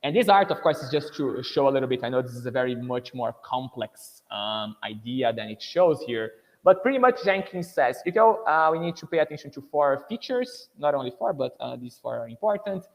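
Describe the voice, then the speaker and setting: A man from Brazil, speaking with a Brazilian Portuguese accent, presents an English-teaching webinar in a relaxed, conversational way. His voice sits at an ordinary middle pitch, and he peppers his points with "you know" and "right". The computer-mic audio carries a long reverb tail. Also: brisk, gravelly, highs cut off. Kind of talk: webinar lecture.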